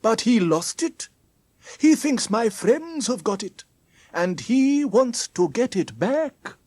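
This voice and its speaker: A narrator voicing a genial but scheming gentleman in a children's story, coaxing and condescending with endearments. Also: mid-range voice, sing-song, slow, dry recording, pitched above the performer's own voice. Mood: urgent, instructing. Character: genial, smooth-talking gentleman, patronising and scheming.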